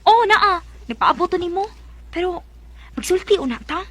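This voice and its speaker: High-voiced gossipy Bisaya young woman. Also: lively intonation, moderate pace, crowd noise. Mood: gossiping. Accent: Cebuano Bisaya, Philippines. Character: gossipy, teasing young female friend with strong opinions. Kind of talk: Bisaya radio drama acting.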